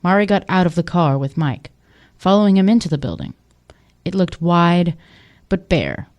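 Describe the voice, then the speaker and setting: A woman's voice: fairly low pitch, lively intonation, moderate pace, a little mellow. A woman narrating an audio drama from a script in storytelling prose.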